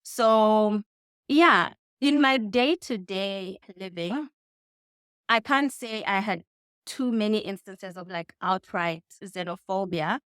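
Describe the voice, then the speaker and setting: A woman's voice: medium pitch, slow pace, a bit bright, clear, resonant tone, dry recording, clean studio sound. A woman in her thirties with a Zambian accent, giving casual, reflective interview answers in carefully chosen words. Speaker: interview guest. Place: indoors in a home.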